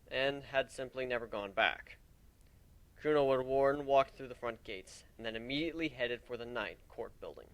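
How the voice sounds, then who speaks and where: A man's voice: mid-range voice, fairly bright tone, dry recording, rough recording. Male narrator for a dramatic fan fiction audiobook, mid-range voice, very dry close sound.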